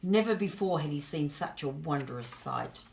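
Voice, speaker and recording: A woman storyteller narrating a scripted children's tale in an expressive, deliberate manner. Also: sits low, slight background noise, low-quality recording.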